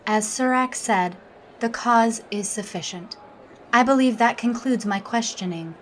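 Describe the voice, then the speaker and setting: Formal, logical alien woman officer, acted, higher-pitched. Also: a little hiss or hum, natural voice. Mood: composed, courteous. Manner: measured, formal, clinical, unemotional. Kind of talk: audio drama interview and log narration.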